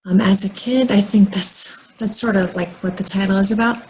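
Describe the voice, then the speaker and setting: An American woman in her late thirties talking casually and haltingly in a personal vlog, often pausing mid-sentence and rambling along. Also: mid-range voice, steady tone, a little mellow, a little hiss or hum, low-quality recording. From United States, moved often as a military child. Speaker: vlogger.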